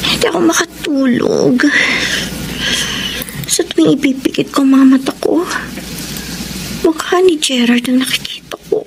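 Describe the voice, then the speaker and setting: A woman's voice: high-pitched, moderate pace, vocal fry, long pauses, background noise. A woman playing a smitten, conflicted young woman in a radio melodrama, with a hesitant, earnest delivery. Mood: troubled. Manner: hesitant, stammering in dialogue. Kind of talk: Tagalog radio melodrama acting and letter narration. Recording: loud music bed behind voice.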